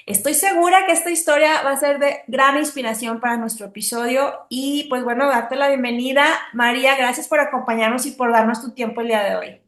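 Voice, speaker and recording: A woman's voice: high-pitched, brisk, few pauses. A woman hosting a podcast interview, talking casually and effusively. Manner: effusive, warm praise of guest. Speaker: podcast host. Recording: roomy echo.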